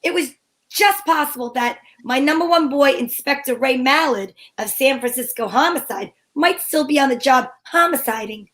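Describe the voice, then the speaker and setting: A breezy, flirtatious lady detective acted by a woman with a high voice. She wisecracks archly in the style of a 1940s radio mystery, moving between narration and banter. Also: normal speed, a bit bright. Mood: wry narration. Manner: arch, wisecracking, endearments. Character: sassy female private eye, flirtatious and breezy.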